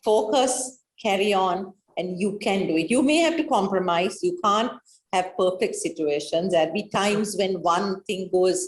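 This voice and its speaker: A woman's voice: medium pitch, normal speed. A woman with a Sri Lankan accent, answering as an interview guest in an earnest, encouraging, conversational way. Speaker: interview guest, business leader. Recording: echoey, roomy sound.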